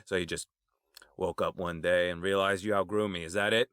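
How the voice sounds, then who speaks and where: A man's voice: sits low, steady tone, brisk, fairly bright tone, clear, resonant tone, no echo, studio quality. A man playing a proud, menacing crime boss in an audio drama, indignant and confrontational. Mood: bitter menace.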